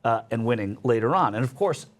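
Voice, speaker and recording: A man from New York City, a panelist in a casual sports debate, animated and full of restarts. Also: medium pitch, a little fast. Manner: animated, talks over others with restarts.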